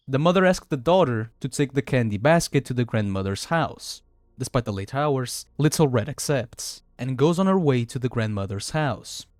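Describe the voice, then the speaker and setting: A man's voice: mid-range voice, a little mellow, no echo, studio quality. A man reading scripted video essay narration in a dramatic storytelling manner.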